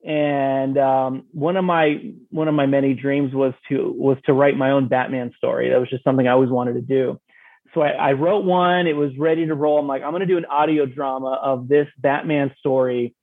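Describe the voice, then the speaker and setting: A man's voice: mid-range voice, steady tone, moderate pace, dry recording. A man telling his story casually as an interview guest, acting out his own thoughts as he goes. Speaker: podcast guest, audio drama creator. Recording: thin, narrow phone-like sound.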